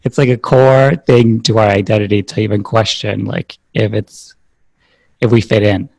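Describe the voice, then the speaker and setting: A man's voice: on the low side, little pitch movement, normal speed, vocal fry, a little mellow. A man hosting a podcast, talking in a reflective, thinking-aloud way with many fillers. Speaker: podcast host. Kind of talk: casual interview chat.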